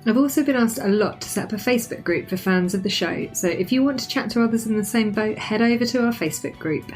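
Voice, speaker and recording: A woman's voice: medium pitch, lively intonation, quick pace, a little mellow, faint music behind. A woman hosting a podcast interview, chatty and upbeat. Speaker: podcast host.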